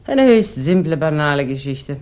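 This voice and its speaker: A jaded, hard-drinking woman with a very low voice, in a 1960s German radio crime play. She is sharp-tongued and languid, coating her words in mocking irony. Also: very animated, normal speed. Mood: wry, reminiscing.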